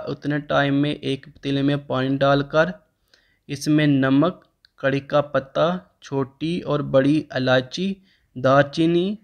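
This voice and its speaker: A man, narrating a home cooking recipe step by step as the channel host. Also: fairly high pitch. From Pakistan. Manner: steady step-by-step instructional tone.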